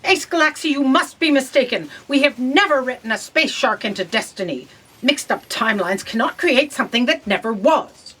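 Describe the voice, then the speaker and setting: A husky, very animated female voice as an officious editor of a cosmic book. She declaims in a commanding way, in the style of a sci-fi comedy serial. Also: high-pitched, normal speed, heavily acted, poor audio. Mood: stern. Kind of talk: audio sci-fi comedy acting.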